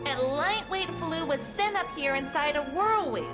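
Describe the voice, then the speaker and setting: A tiny, earnest cloud scientist in a children's cartoon. The voice is extremely high and very animated, under loud music. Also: female voice, normal speed. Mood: apologetic, explaining. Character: earnest, alarmed electric cloud-observatory scientist creature. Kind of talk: cartoon voice acting.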